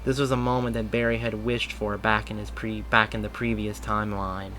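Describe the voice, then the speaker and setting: Man reading a story aloud, bright voice. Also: lowish voice, a little fast, a little hiss or hum. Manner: steady narrative reading. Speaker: reader of fan fiction.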